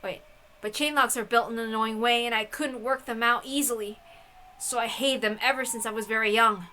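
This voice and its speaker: A woman giving a dramatic, theatrical narrated reading of a story in an expressive manner. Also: fairly high pitch, fairly quick, a little hiss or hum. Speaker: reader of a visual novel.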